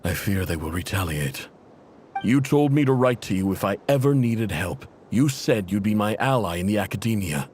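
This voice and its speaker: Man voicing a desert tribe chief, middle-aged, low voice, lively swings in pitch, fatherly tone. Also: moderate pace, a little hiss or hum, lightly acted. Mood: worried. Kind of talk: game cutscene voice acting.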